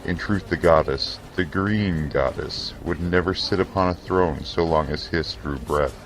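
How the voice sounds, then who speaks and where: A man reading scripted fantasy narration for an audio drama in a solemn, measured, theatrical way. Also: deep voice, faint music behind, low-quality recording.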